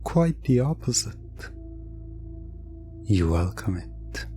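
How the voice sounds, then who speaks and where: A narrator giving a hypnotic ASMR roleplay in a deliberate, repetitive way, sometimes slipping into a near-whisper. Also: medium pitch, very animated, dark tone, faint music behind. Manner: deliberate, repetitive phrasing with lingering pauses.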